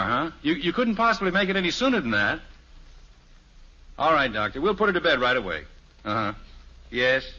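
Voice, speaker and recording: An actor playing a wry suburban father in an old-time radio family sitcom, excitable and easily exasperated. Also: fairly high pitch, exaggerated voice. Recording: muffled old radio recording, faint hiss.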